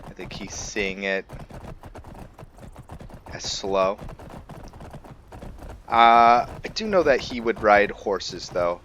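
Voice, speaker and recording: A man giving casual, unscripted gameplay commentary, musing and haltingly thinking aloud. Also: on the low side, fairly bright tone, lo-fi sound, slight background noise. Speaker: gamer hosting a let's play.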